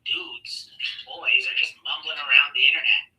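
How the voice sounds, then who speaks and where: A man's voice: on the high side, huge pitch swings, moderate pace, bright, forceful tone, far from the mic, low-quality recording. A man hosting a casual online debate, sarcastic and mocking, rambling with fillers.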